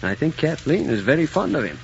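An actor playing a kindly older family friend, reasoning and gently persuasive, in an old film drama. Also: male voice, a little high, very animated, fairly quick, vocal fry. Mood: reasoning, concerned. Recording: muffled, noisy old film soundtrack.

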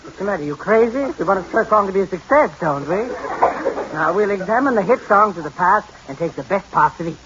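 Animated, eager radio sitcom songwriter man. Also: sits high, fairly quick. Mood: wry, encouraging. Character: eager, chatty male songwriter, wry and self-assured. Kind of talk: old-time radio sitcom acting. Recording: muffled old radio recording, clear noise.

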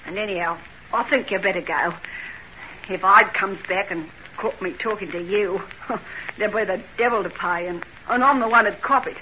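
An actress playing a guarded, bitter young working-class housekeeper with a brusque, slangy in old radio drama style. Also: female voice, medium pitch, normal speed, sharp tone, close to an everyday voice. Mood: defensive, angry. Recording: muffled noisy old radio recording.

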